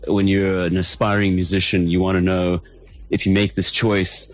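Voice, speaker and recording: A man's voice: low-pitched, flat delivery, normal speed. A middle-aged South African man, a band's lead singer, chatting in an interview, thoughtful and hesitant with many restarts. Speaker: band lead singer, guest. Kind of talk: casual interview chat.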